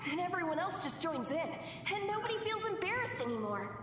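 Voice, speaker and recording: A woman's voice: very high-pitched, big pitch swings, a little fast, recorded from a distance. A woman voicing an eager little boy who dreams of food, heard in an echoing flashback. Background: game music.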